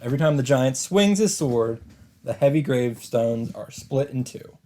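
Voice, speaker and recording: A man's voice: mid-range voice, lively intonation, normal speed, mellow. A man let's player reading visual novel narration dramatically, with casual commentary of his own. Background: game music.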